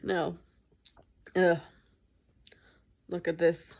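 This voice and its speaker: A woman's voice: fairly low pitch, monotone, drawn-out words, creaky, darkish tone. A woman vlogging at home in a casual, rambling chat, swearing freely and mocking herself. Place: indoors at home.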